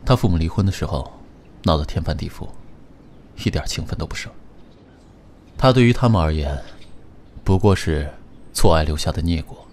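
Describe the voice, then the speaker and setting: A man playing a calm, protective young man who teases his partner gently. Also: very deep voice, moderate pace, pauses often. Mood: tender. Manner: calm, gently teasing, soft laughs. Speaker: lead character. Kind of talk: audio drama acting.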